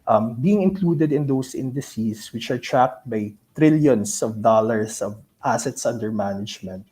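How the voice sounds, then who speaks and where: A man with a Filipino accent giving a business presentation to investors in a halting way, often tagging phrases with "no?". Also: medium pitch, expressive, warm tone. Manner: halting, ends phrases with a tag "no?". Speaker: company investor relations presenter. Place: virtual call.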